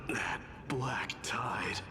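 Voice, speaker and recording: Slow, grim undying warrior lord. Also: male voice, mid-range voice, pushed and bright. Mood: grim, resolute. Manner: slow, halting, solemn delivery. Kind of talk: dramatic video game voice acting.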